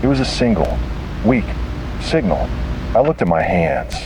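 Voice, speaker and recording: A deep-voiced man delivers grave, dramatic horror narration. He moves slowly and haltingly, leaving heavy pauses between short phrases. A clear wash of noisy tape-like hum sits on the recording. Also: big pitch swings.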